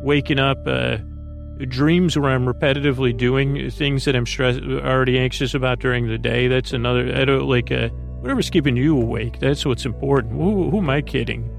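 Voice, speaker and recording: A man with a creaky voice hosting a sleep podcast, meandering through a soothing, hesitant bedtime monologue full of tangents. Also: medium pitch, fairly even intonation, fairly quick, soft background music. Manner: soothing, lulling delivery. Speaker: podcast host.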